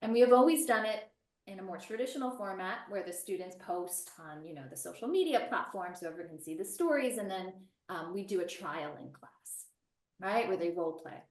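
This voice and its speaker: A woman teacher, a guest on a webinar, telling an animated story about a class project with many restarts. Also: medium pitch, a little fast. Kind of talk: casual webinar chat. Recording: roomy and distant from the mic.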